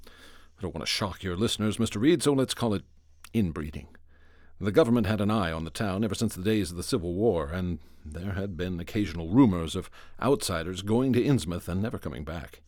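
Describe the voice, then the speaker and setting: An actor playing a scholarly, discreet professor giving a measured, slightly hesitant radio interview in a drama. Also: male voice, sits low, darkish tone, no room sound, clean studio sound. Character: reserved, scholarly male archaeology professor.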